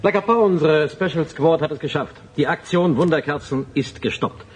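An actor playing a matter-of-fact male security officer with a husky voice, reporting in a radio drama. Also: a little high, moderate pace. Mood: briskly reporting.

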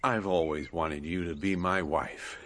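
A man's voice: sits low, fairly even intonation, unhurried, fairly bright tone. An actor playing an earnest, insistent man in a radio drama. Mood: earnest wooing.

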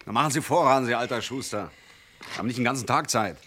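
An actor playing an impatient, threatening gangster who barks mocking orders in a radio crime drama. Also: male voice, medium pitch, quick pace, bright, forceful tone, slight background noise, lightly acted. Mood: bullying.

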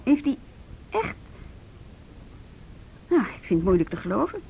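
A woman's voice: sing-song, measured pace, creaky, mellow. An actress playing a conscientious, plain-spoken and slightly wry female juvenile police officer in a radio drama. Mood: doubting, firm. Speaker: actor in a radio play. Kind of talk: Dutch radio drama acting. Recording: noisy radio play recording with rumble.

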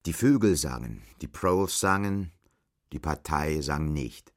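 Male narrator for radio play, low-pitched voice, literary scripted delivery. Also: animated, measured pace.

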